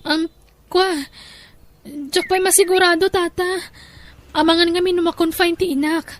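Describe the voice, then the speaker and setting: A woman's voice: extremely high pitch, fairly even intonation, a little slow, a little hiss or hum. A woman playing a worried, hard-up village wife and devoted daughter in an audio drama, speaking with a Filipino Ilocano accent and a plaintive manner. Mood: anxious. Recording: music under the voice.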